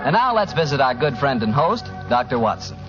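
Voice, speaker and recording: A man with an American accent, announcing and pitching a sponsor in 1940s radio style. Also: big pitch swings, a little fast, over music. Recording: dull, husky old radio recording.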